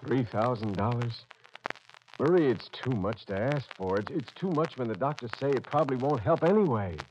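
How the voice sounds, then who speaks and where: A man's voice: medium pitch, lively intonation, close to an everyday voice. Weary father, acted by a man, noisy recording. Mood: weary resignation. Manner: resigned, halting, bitter. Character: weary, defeated working father of a dying boy. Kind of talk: old-time radio drama acting. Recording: noisy old radio broadcast.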